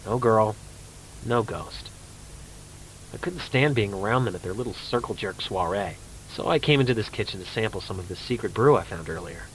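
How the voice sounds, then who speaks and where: A young man acting a lonely, awkward, lovestruck character in an amateur horror drama, speaking in an earnest, halting, self-pitying way. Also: lowish voice, expressive, fairly bright tone, low-quality recording. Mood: bitter, self-pitying. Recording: clear background noise and ambience.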